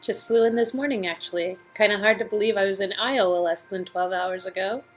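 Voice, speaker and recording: A woman's voice: medium pitch, brisk, soft background music, close to an everyday voice. A woman voicing a sassy young female newcomer in a romance game, playful, teasing and casual. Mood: amused, teasing. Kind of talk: amateur dialogue reading of a romance game.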